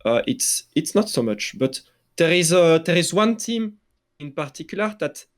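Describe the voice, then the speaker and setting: A man with a French accent, talking through esports news in a casual podcast discussion, halting often with fillers and restarts. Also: on the high side, sing-song. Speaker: esports journalist.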